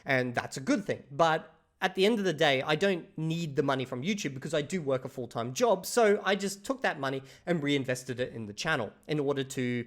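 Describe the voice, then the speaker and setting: A man's voice: a little high, lively intonation, fairly quick. A man, talking casually to camera about his routine, swearing freely and saying "bro" and "okay?" often. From Australia. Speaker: language-learning YouTuber.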